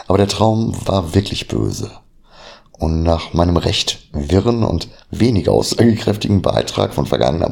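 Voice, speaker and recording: Low, gravelly, husky-voiced man whispering. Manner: hushed, half-whispered narration. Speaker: podcast host. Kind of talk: reading his own short horror story.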